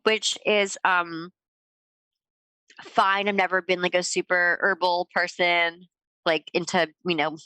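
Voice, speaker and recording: A woman's voice: fairly low pitch, flat delivery, takes it slowly, bright, forceful tone, no echo. A young adult woman co-hosting a podcast, with a stuffed-up, sniffly nose and a rambling, filler-heavy way of talking. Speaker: podcast co-host. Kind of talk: casual chat.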